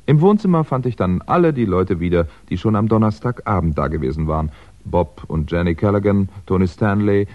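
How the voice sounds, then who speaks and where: An actor playing a wry, eloquent crime writer on the run who tells his own story in a 1960s radio drama. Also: deep voice. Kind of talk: first-person narration and dialogue in 1960s German radio crime drama. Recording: dull, roomy 1960s radio recording.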